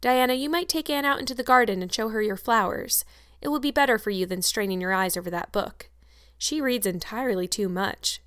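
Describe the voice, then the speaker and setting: A woman's voice: a little high, brisk, a bit bright, clear, resonant tone, no room sound, clean studio sound, close to an everyday voice. Woman reading as a polite, resolute neighbour mother. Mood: matter-of-fact. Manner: cordial, matter-of-fact. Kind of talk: audiobook character acting.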